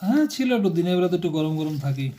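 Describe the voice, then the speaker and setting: A man's voice: high-pitched, big pitch swings, very fast, mellow. A young man, chatting offhandedly as a grown son on a family phone call. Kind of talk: casual family phone chat.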